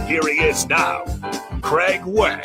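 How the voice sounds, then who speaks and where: A man with a fairly high announcer voice reads a radio station intro with punchy, building emphasis. A loud music bed plays under him the whole way. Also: slow pace.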